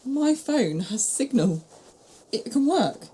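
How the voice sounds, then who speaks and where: Woman acting animated young companion, high, mellow voice. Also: slow pace, slightly rough, exaggerated voice. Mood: curious, puzzled. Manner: questioning, wry, expressive. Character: curious, sceptical young woman, new time-travelling companion. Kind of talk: fan-made audio drama acting.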